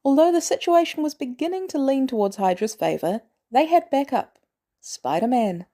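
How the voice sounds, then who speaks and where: A woman's voice: high voice, animated, normal speed, dark tone. A woman reading scripted fan fiction aloud as a narrator. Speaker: podfic narrator.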